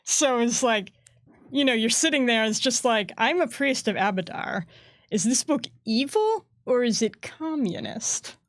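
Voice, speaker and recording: A woman with a somewhat high voice talks tabletop rules in relaxed chat. She laughs often and slips into quick put-on voices. The sound is close and dry, with no room around it. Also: no echo. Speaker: game design staff co-host. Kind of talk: casual chat about game rules.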